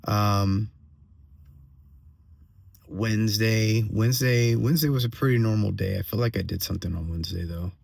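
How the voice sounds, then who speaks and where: A man with a slightly hoarse voice giving a casual personal update as a vlogger, venting in a halting way, repeating phrases and checking in with tag questions. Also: fairly low pitch, a little mellow, no echo. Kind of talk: casual solo monologue, venting.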